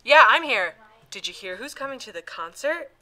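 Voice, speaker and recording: Woman acting a chatty girl on a phone call, really sharp bright voice, bouncy pitch. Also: very animated, moderate pace, full character voice. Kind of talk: short acted demo clip.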